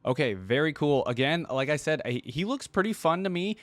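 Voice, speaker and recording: A man's voice: a little high, expressive, fairly quick, no room sound. A man hosting a reaction video, giving casual, musing commentary with short murmured responses.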